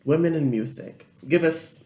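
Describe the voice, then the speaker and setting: A man's voice: on the high side, normal speed, a little mellow. A man co-hosting a casual podcast chat, blunt and opinionated. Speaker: podcast co-host. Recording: echoey room.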